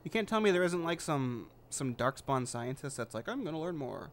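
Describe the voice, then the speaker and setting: A man's voice: fairly high pitch, very animated, moderate pace, a little hiss or hum. A man giving casual, offhand commentary as he plays a video game, with a sarcastic streak. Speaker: gamer, let's play host.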